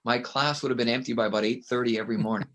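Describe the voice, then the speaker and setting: A middle-aged man from Canada talks in an emphatic, storytelling way, with a loud voice at an ordinary pitch. He often checks in with the listener with a quick "right?" as a guest in an interview. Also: fairly even intonation. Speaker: guest author and consultant. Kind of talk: conversational interview.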